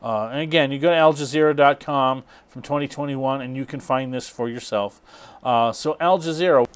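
Man, middle-aged, clear resonant voice, halting delivery, reading aloud as presenter. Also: medium pitch. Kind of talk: introducing and reading aloud an article.

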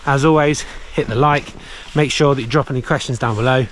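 A man's voice: medium pitch, steady tone, fairly quick, slight background noise. A man in his thirties vlogging casually to an action camera held at arm's length, outdoors in a snowy mountain village, chatty and upbeat with frequent filler words. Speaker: snowboarding vlogger.

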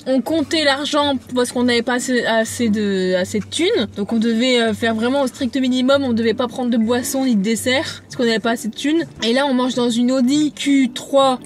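A woman's voice: a little high, moderate pace, hardly any pauses. A woman in her late twenties with a French accent, chatting casually and slangily over food inside a parked car. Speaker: vlogger's partner, co-host. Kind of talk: casual chat while eating.

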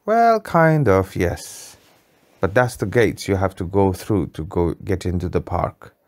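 Storyteller, very low and unhurried, patient, simple phrasing, improvising a bedtime tale for a child. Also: animated.

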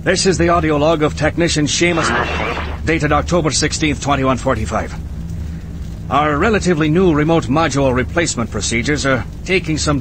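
Man playing a technician over clear background noise. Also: mid-range voice, close to an everyday voice. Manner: matter-of-fact, procedural. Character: male maintenance technician recording a work log. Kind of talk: scripted game audio log.

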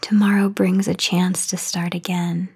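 A woman guiding a sleep meditation in a soft, breathy half-whisper, soothing and unhurried, with long pauses. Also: fairly low pitch, flat delivery. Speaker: meditation guide. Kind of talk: guided sleep meditation narration, soft-spoken. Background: voice only, no music or background noise.

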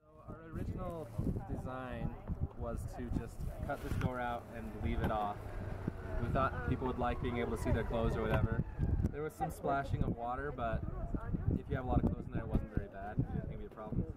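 Chatty young man outdoors, loud noise. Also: medium pitch, steady tone, fairly quick. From Utah. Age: 20s. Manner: loose, chatty, with fillers. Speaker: engineering student. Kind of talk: casual explanation. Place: outdoors by a lake.

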